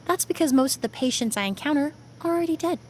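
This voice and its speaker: A woman playing a composed, thoughtful young perfumer in a video game, speaking in a measured, precise, calm way. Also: high-pitched. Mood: calm, explanatory.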